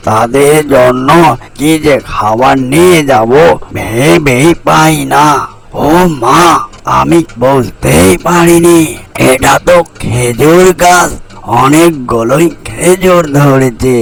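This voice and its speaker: A man voicing a weary, hungry crow and worried parent in a fairy-tale cartoon, gravelly, plaintive and grumbling. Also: a little high, rough recording.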